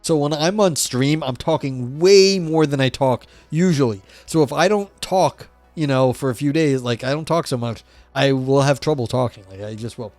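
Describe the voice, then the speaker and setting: Hoarse, scratchy-voiced man streaming. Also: mid-range voice, moderate pace, a little mellow, dry recording, soft background music. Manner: reads passages aloud then riffs casually, swears, self-deprecating. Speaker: streamer reading philosophy text. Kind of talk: casual livestream reading and commentary.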